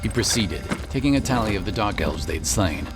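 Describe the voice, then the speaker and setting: A man's voice: fairly low pitch, lively intonation, a little fast, music in the background. A man reading scripted narration for a fantasy audio drama.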